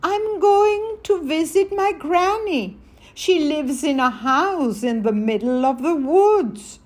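Lady putting on a little storybook girl, high and wide-eyed, slow. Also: lively intonation. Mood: cheerful.

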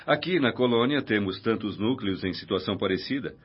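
Friendly frank brazilian guy in a radio play, husky low voice, swings up and down. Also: lively intonation, fairly quick. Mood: cordial, frank. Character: Tobias, friendly hospitable married man, frank and cordial. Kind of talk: radio drama dialogue. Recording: dull lo-fi audio with music.

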